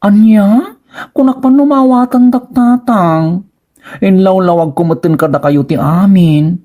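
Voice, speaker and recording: A man's voice: sits high, unhurried, warm tone. A man playing a young transgender adult pleading with a parent in an Ilocano radio comedy drama, with a plaintive manner and an Ilocano Filipino accent. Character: pleading transgender adult child of stern father.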